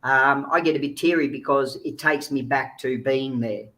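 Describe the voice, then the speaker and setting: A man's voice: mid-range voice, moderate pace, pushed and bright. A man giving informal, earnest, explanatory answers as an interview guest on a video call. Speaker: guest speaker, child recovery agency founder.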